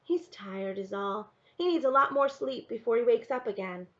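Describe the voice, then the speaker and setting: A woman's voice: fairly high pitch, lively intonation, moderate pace, fairly bright tone, muffled recording. A woman voicing a caring, practical young girl in a storybook, explaining patiently and earnestly. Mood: reassuring.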